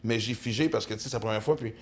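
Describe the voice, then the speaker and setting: A man's voice: lowish voice, very fast. A man with a Québécois accent, co-hosting a podcast and telling stories in a chatty, casual way. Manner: chatty storyteller, lots of "tu sais" fillers. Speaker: podcast co-host. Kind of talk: casual chat.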